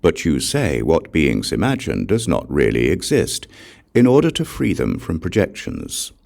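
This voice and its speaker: A man narrating a Buddhist scripture as an audiobook, reading in a measured, deliberate and formal way. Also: extremely low pitch, huge pitch swings, creaky, a little mellow.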